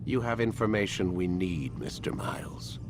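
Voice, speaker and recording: Condescending older male scientist, lively pitch. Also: gravelly, background noise, full character voice. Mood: cold, menacing. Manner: measured, formal, lecturing. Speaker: scientist in charge. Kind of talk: video game voice acting.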